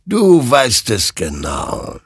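A man voicing a smoky, omniscient horseman of death in an audio story, solemn and menacing, with slow, hissing speech. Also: mid-range voice, huge pitch swings, breathy edge, dry recording, studio quality, pitched above the performer's own voice, full character voice. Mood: ominous, commanding.

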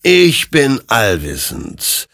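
Man voicing a slow, menacing, all-knowing rider of death. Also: medium pitch, wildly swooping pitch, fairly bright tone, dry recording, clean studio sound, voice pushed up, heavily acted. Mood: solemn, commanding. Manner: slow, solemn, hissing and whispering. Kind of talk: dramatic audiobook storytelling.

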